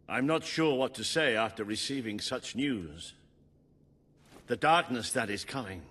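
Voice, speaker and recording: Fantasy game commander: loyal, resolute adviser, mid-range, crisp forceful edge. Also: male voice, mid-range voice, full character voice. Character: earnest, loyal military commander advising the king. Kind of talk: video game cutscene dialogue.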